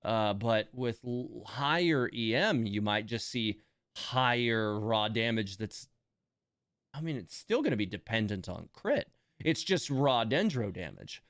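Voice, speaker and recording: A man doing casual live game-stream commentary, thinking out loud in a musing, trailing way. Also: medium pitch, expressive, measured pace, no room sound, studio quality. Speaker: live streamer playing and reviewing a video game.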